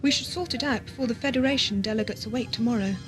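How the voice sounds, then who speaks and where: A woman's voice: on the high side, quick pace, over music, lightly acted. A young woman playing a naive, curious girl in an audio drama, with a hesitant manner. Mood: concerned. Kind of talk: amateur audio drama acting.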